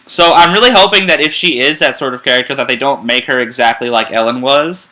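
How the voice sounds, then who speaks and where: A man's voice: mid-range voice, fast, pushed and bright, poor audio. A young man co-hosting a casual fan radio chat, eager and rambling, often restarting his sentences. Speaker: podcast co-host.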